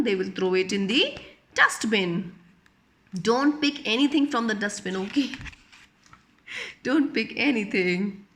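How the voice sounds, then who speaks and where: High-voiced woman reading to young children. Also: sharp tone. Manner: teacherly, repeats phrases and questions. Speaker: children's storyteller. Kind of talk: read-aloud story with simple questions to young children.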